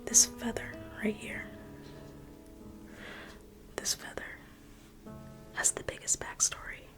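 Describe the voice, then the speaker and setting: A woman's voice: on the high side, monotone, slow pace, music in the background. A young woman whispering an ASMR roleplay as a museum guide, playful and teasing like a salesperson.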